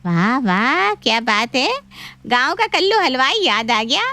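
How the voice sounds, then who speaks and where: Cartoon auntie, voiced by a woman: nosy and excitable, very high and sharp, teasing with dramatic exclamations. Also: measured pace, exaggerated voice. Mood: delighted, teasing. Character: nosy, excitable visiting aunt, mother-in-law's older sister. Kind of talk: animated Hindi moral-story cartoon dub.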